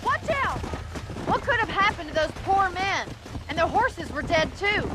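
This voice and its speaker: A high-pitched young American ranch woman, plain-spoken and proud, a devoted daughter in a western game drama. Horse and vehicle noise runs clearly behind the voice. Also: sing-song, a little slow, full character voice. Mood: urgent, alarmed. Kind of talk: dramatic video game acting.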